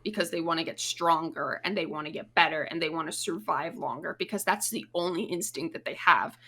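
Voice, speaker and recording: A woman with a bright, forward voice talks as a guest on a casual podcast. She explains things at length and swears casually. She is recorded remotely over the internet. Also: deep voice, a little fast.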